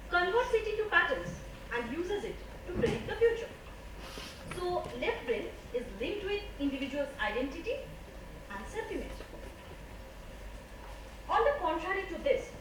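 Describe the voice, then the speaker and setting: A woman's voice: extremely high pitch, heavily acted. An Indian-accented woman playing an earnest, emphatic scientist in an amateur stage play. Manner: emphatic, theatrical delivery. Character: earnest woman scientist, lecturing yet easily flustered. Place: stage in a hall, far microphone. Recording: very echoey distant stage recording, noisy.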